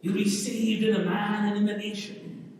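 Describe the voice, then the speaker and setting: Eager young Irish rustic played by a man, high-pitched, idealistic, stage play, distant mic, long reverb. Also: completely flat delivery, moderate pace, a little mellow, natural voice. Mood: rousing, idealistic. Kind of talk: dramatic stage reading of a play. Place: large reverberant indoor hall, from far off. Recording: very echoey hall, distant mic.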